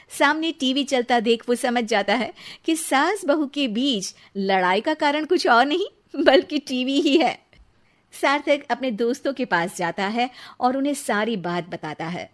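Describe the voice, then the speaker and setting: A woman's voice: on the high side, lively intonation, unhurried. A woman reading scripted narration for a cartoon family story.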